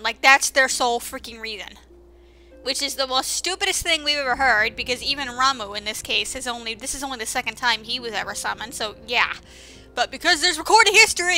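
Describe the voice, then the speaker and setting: Female game commentator, high and piercingly bright, sarcastic and informal, casual delivery over game music. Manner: sarcastic, exclamatory; informal, swearing. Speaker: gameplay commentator.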